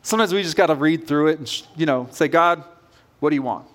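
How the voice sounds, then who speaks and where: A man's voice: on the high side, fast talker, a bit bright. A man in his forties preaching a sermon as a pastor in an informal, conversational storytelling manner. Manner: informal, self-questioning storytelling.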